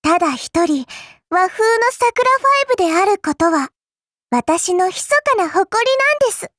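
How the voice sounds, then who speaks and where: Small cute spirit girl for an anime game: extremely high pitch, sly and teasing, polite but smug, dry studio sound. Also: sing-song, a little slow, fairly bright tone, no echo, heavily acted. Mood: smug, coy. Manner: polite, coy, teasing, cutesy. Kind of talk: anime game character voice lines.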